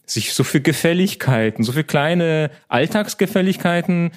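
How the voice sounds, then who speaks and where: A man whose first language is Polish, a lawyer and founder, answering interview questions in a relaxed, chatty way. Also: on the high side, moderate pace. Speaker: lawyer and app founder, guest.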